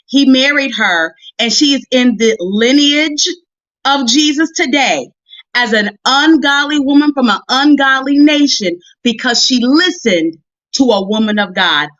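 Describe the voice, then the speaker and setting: A woman's voice: a little high, a bit bright, no room sound, highs cut off. A woman giving a motivational sermon on a live stream, talking directly and conversationally to women in a folksy, emphatic way and repeating phrases for effect. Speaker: guest preacher and motivational Bible teacher.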